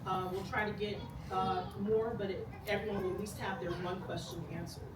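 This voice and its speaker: Woman moderator, reads rules plainly; distant mic, loud room noise. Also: medium pitch, flat delivery, brisk, echoey room. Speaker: meeting moderator. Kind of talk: reading meeting rules. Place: public meeting hall.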